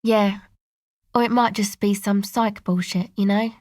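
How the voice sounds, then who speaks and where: A woman playing a laid-back, sardonic young woman in a video game, speaking in a casual, slangy, deadpan way. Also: medium pitch, measured pace, no echo, clean studio sound, close to an everyday voice. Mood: sardonic, relaxed. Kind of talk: video game character dialogue.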